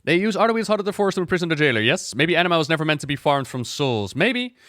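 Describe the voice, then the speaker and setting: A man giving casual reaction commentary as a game lore streamer, musing out loud in a conversational way. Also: on the high side, very animated, fast, dry recording, studio quality.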